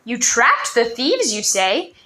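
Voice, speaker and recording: Old guy, slow and bossy but kind, lady doing him. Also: fairly high pitch, wildly swooping pitch, sharp tone, full character voice. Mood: commanding. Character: gruff, caring old man, slow and firm.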